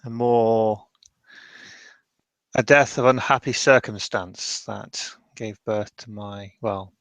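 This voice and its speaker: Brief: male player as haunted, guarded man in a tabletop game, evasive, lowish voice, dry sound. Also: fairly even intonation, slow pace, fairly bright tone, no echo. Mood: evasive, pained. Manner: hesitant, evasive. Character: reluctant, guarded man haunted by his past.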